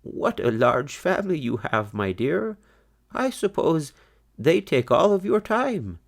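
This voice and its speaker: Man playing a kindly, animated old grandfather rabbit. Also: a little high, creaky, pitched above the performer's own voice, heavily acted. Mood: kindly curious. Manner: gentle, measured, grandfatherly. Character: kind, wise old grandfather rabbit, benevolent.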